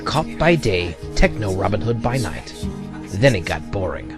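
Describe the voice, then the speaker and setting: A man playing a level-headed, deadpan team member in an audio drama. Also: on the low side, a little slow, music in the background. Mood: dry, calm. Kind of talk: amateur audio drama acting.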